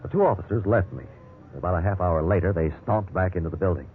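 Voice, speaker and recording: A man's voice: low voice, quick pace, narrow, tinny audio, faint music behind, lightly acted. An actor playing a respectable, wrongly accused businessman telling his story, earnest and conversational, in 1950s radio drama style. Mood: wry, measured narration. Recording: thin band-limited 1950s radio recording, hiss.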